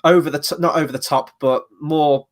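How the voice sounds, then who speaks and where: A man's voice: on the high side, fairly even intonation, a little fast. A man hosting a casual review chat, a little stuffy from a cold, rambling through his opinions with fillers. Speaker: podcast host.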